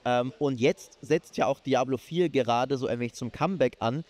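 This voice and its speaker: Male panel co-host, mid-pitched, chatty and hesitant, live event recording. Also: normal speed, darkish tone. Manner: hesitant, many fillers and restarts. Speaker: podcast co-host. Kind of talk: live panel chat about games.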